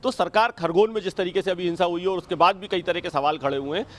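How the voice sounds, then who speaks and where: Male news reporter. High-pitched, rapid explanatory delivery, live analysis style.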